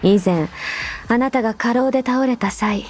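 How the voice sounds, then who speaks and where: A woman voicing a composed, gentle and protective sisterly figure in an anime game, soft-spoken and deliberate with a quietly teasing edge. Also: fairly high pitch, lightly acted. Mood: tender, confiding. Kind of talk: Japanese anime game voice lines, intimate delivery. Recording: background music under the voice. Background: music.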